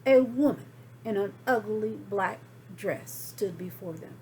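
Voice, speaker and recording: A woman reading a children's story aloud as expressive narration, with deliberate, dramatic phrasing. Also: medium pitch, slight background noise. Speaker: storybook reader.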